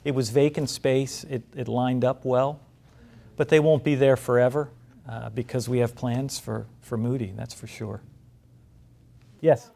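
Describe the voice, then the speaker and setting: A man's voice: mid-range voice, fairly even intonation, unhurried, gravelly, warm tone. College president guy, casual and conversational, talking to a room of alumni. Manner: conversational, self-deprecating, with restarts. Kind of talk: informal speech to alumni. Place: large meeting room.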